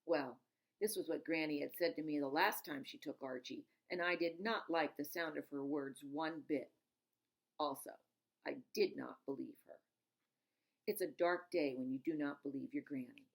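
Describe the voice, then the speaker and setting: A woman reading a storybook aloud in an expressive, emphatic narrating style. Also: deep voice, moderate pace, gravelly. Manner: dramatic, emphatic, stops to explain words. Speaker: storybook reader.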